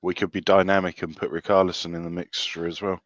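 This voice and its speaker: Deadpan male podcast panellist with a low voice and slightly dull sound, self-mocking in casual football banter. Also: fast, no echo.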